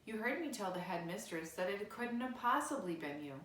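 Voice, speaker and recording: A woman voicing a kind, patient female schoolteacher in a children's storybook, gentle and questioning. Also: medium pitch, very animated, moderate pace, reverberant, a little hiss or hum. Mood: reassuring. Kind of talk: children's storybook read aloud.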